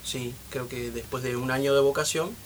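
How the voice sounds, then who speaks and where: A man's voice: mid-range voice, steady tone, moderate pace, slight background noise. A young man, a newly ordained priest, speaking hesitantly in a radio interview and laughing often.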